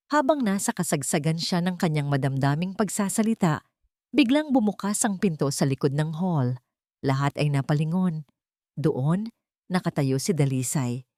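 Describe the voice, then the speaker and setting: Casting: female storyteller, lowish pitch with big swings, dramatic scripted narration, dry close recording. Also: big pitch swings, moderate pace, no room sound.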